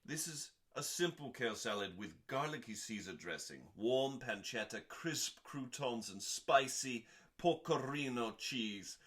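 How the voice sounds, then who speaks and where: A man hosting a dramatic cookbook reading, delivering recipes in a measured, theatrical way with deliberate pauses and deadpan asides. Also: mid-range voice. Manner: theatrical, measured, with pauses between short phrases.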